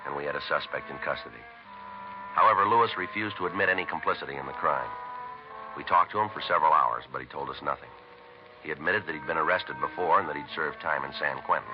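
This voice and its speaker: Very deep, clipped police detective. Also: male voice. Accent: American. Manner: deadpan, matter-of-fact, clipped. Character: terse, methodical male police detective sergeant and narrator. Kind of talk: 1950s radio crime drama, clipped dry narration. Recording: dull vintage radio recording with music.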